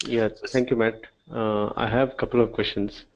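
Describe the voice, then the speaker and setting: A man's voice: on the low side, flat delivery, normal speed. A man putting questions to a presenter in a webinar, speaking conversationally. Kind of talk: spoken question-and-answer. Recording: dull, lo-fi webinar audio.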